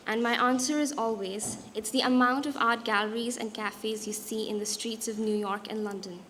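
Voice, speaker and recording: Young indian woman giving a talk, bit high voice, slow and deliberate, faint room noise. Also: steady tone, fairly bright tone. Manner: measured, deliberate delivery with occasional restarts. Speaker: guest speaker, designer and artist. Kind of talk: prepared public talk.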